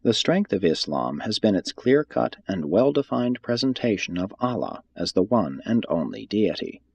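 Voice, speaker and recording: A man reading a religious text aloud as scripted audiobook narration, in a measured, formal and solemn manner. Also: lowish voice, very animated, vocal fry, darkish tone, breathy edge, no room sound, muffled recording.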